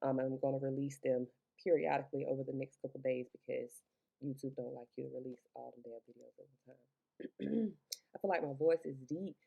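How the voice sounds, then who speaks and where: A woman with a deep voice giving a casual, rambling tarot reading. She talks chatty and loose, swears now and then, and records through Bluetooth earbuds. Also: steady tone, fairly quick, gravelly, breathy edge, narrow, tinny audio. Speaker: tarot reader.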